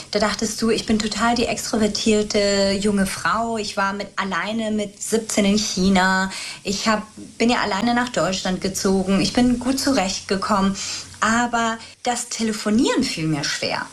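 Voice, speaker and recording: A woman's voice: mid-range voice, slight background noise. A woman from Spain, a trauma and mindset coach, telling her story as a guest in an earnest, reflective way. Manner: earnest, reflective, speaks in long flowing stretches. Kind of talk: podcast interview, personal storytelling.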